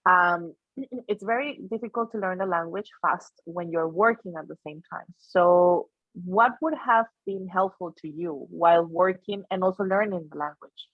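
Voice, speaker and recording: Female panel participant, lowish husky voice, halting delivery, recorded through a thin phone-like connection. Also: dry recording. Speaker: panel participant asking questions. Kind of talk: spontaneous question in an online webinar. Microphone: video call. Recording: tinny phone-like call, dry.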